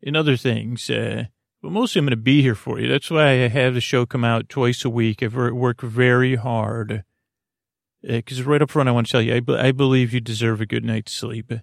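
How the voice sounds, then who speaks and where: A man with a gravelly, creaky voice hosts a sleep podcast. He wanders through his sentences in a lulling way, stammering and doubling back with gentle self-deprecation. The sound is close and dry. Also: medium pitch, fairly even intonation, normal speed, dry recording. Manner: soothing, lulling. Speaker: podcast host. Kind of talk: rambling bedtime sleep podcast monologue.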